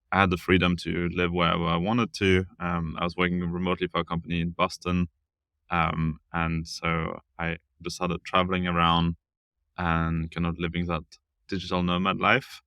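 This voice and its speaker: Male interview guest from southern France, low creaky voice with flat intonation, thoughtful and halting. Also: dry recording. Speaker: interview guest, village founder. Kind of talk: casual interview conversation.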